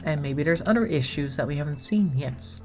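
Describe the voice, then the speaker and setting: A woman with a low voice, a little congested from a cold, gives casual game commentary. She chats to herself as she manages things, with soft music playing faintly behind her. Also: animated, brisk. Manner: chatty, talks to herself while managing the colony. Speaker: streamer.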